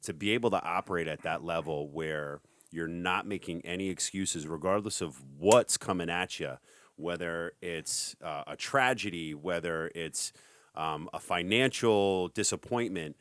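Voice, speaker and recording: A middle-aged man hosting a podcast, talking casually in an energetic motivational way. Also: low-pitched, measured pace, no room sound. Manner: energetic, hype-style motivational. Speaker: podcast host.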